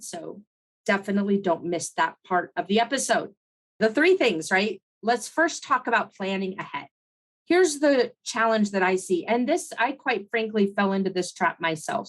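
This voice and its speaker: A woman's voice: mid-range voice, poor audio. Woman podcast host, middle-aged, conversational and encouraging, voice recovering from laryngitis. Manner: conversational, encouraging, frequent "right?" asides. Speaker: podcast host and consultant coach. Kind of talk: solo podcast teaching talk.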